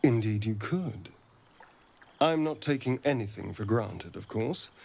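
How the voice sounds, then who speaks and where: A man's voice: fairly low pitch, expressive, unhurried, gravelly, a little mellow, husky, full character voice. A man playing a suave, sardonic vampire rogue in a fantasy drama, with a theatrical, silky, teasing delivery. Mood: sardonic menace. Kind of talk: cinematic fantasy game-style acting.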